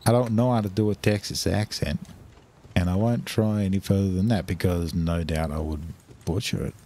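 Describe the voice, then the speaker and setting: A young Australian man swearing freely and reacting loudly as he chats while streaming a game. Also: low-pitched, steady tone, normal speed. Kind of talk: casual live game commentary.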